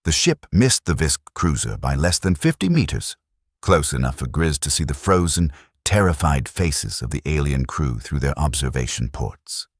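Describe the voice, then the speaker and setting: A man's voice: rumbling low, very animated, normal speed, husky, no room sound, clean studio sound. A man narrating a science fiction audiobook with dramatic storytelling delivery. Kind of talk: scripted sci-fi narration.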